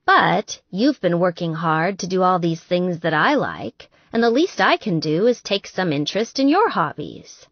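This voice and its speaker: A woman's voice: fairly low pitch, sing-song, moderate pace, hardly any pauses, no room sound, dull audio. Woman voicing an eager, chatty young girlfriend, dry sound. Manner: earnest, chatty, self-deprecating. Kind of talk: audiobook character dialogue.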